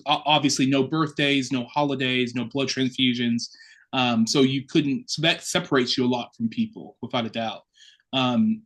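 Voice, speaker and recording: Guy in his 30s, curate chatting openly about his life, relaxed and reflective. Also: mid-range voice. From Chicago, United States. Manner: open, reflective storytelling. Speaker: assistant curate, guest. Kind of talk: casual interview talk.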